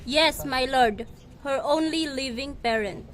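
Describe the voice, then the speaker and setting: Woman playing high-voiced, deferential widowed mother. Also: a little slow, fairly bright tone. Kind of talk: amateur radio drama acting. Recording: noisy amateur recording, outdoor sounds.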